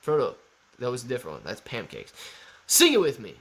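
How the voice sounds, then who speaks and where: A man in his thirties hosting a live stock market Q&A, reading viewer chat with playful, animated banter. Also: medium pitch, fairly quick, low-quality recording. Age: 30s.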